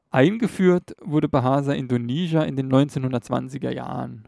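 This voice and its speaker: A man talks through a topic in a relaxed, explanatory way, often pausing on fillers and correcting himself. His voice is dark and gravelly, recorded dry and close. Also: medium pitch, no room sound. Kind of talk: casual educational talk.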